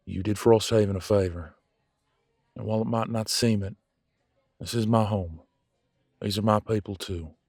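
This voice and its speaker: A man playing a proud, blunt werewolf in an audio drama, speaking haltingly as he grudgingly makes peace with a rival. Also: low-pitched, darkish tone, no echo, studio quality, heavily acted. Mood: grudging, earnest. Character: gruff proud male werewolf, reluctantly making peace with rival. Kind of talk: scripted audio roleplay drama.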